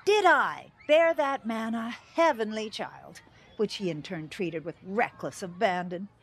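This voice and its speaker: A woman's voice: medium pitch, a little slow, breathy edge. An actress playing a bitter middle-aged small-town teacher and mother, giving wry, theatrical testimony in an audio drama.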